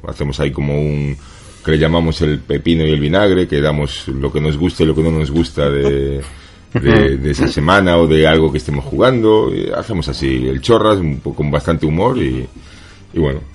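Very deep-voiced relaxed man. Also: a little fast. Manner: relaxed, easygoing, trails off quietly. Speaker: podcast guest, games writer. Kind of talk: casual podcast chat.

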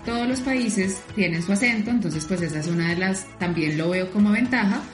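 A woman's voice: mid-range voice, fairly quick, reverberant, soft background music. A Colombian woman interviewing a guest in a casual, upbeat way, full of fillers. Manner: upbeat, fillers like "pues" and "súper". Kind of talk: casual interview over a call.

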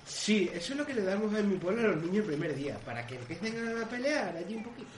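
A cocky, blunt warrior, acted by a man in a comic fantasy radio play. The voice is high for a man, echoey and off the mic. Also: big pitch swings, quick pace, recorded from a distance, slight background noise. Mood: mocking. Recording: echoey, off-mic, music behind.

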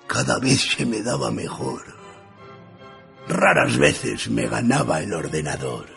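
An actor playing a jaded, sardonic writer in a radio play, narrating deadpan in a husky, gravelly voice. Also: male voice, medium pitch, a little slow, faint music behind, heavily acted. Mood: deadpan, weary. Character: jaded, sardonic male writer narrating his idle days. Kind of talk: first-person radio theatre narration.

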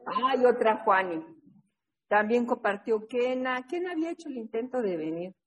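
A woman from New England with a fairly high voice leads an online recovery group. She tells her stories in a lively, chatty way and keeps checking in with the group. She is heard over a video call. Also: moderate pace, highs cut off. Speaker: recovery workshop leader and sponsor. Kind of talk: casual storytelling talk in an online support meeting.

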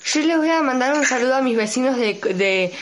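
A fairly high-pitched woman with an Argentine accent talks casually as a radio host. Loud chatter of a crowd surrounds her voice. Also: animated, brisk. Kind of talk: casual chat on a youth radio show.

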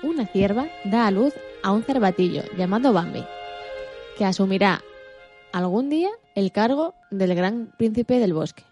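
A woman's voice: medium pitch, mellow. A woman reading a scripted story summary as a narrator.

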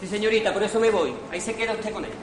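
An actor playing a hapless, jittery poor impostor in an amateur comic radio play, stammering and muttering exasperated asides. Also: male voice, high-pitched, racing, close to an everyday voice. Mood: flustered confessing. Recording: roomy distant stage recording, noisy audience.

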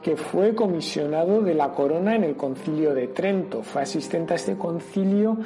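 A man's voice: a little high, normal speed, dark tone, soft background music. A man with an accent from Spain giving a didactic, explanatory radio talk on history. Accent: Spanish from Spain. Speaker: local heritage presenter.

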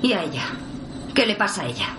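An actress playing a stern, authoritative special forces squad leader in a radio drama, with firm, clipped military speech. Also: female voice, mid-range voice, sing-song, fast talker, noisy background, close to an everyday voice. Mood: stern, urgent.

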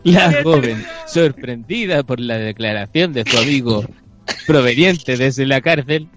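A man's voice: mid-range voice, a little slow, over music. A man with a Chilean accent narrating an improvised radio play in a mock-serious storytelling style.

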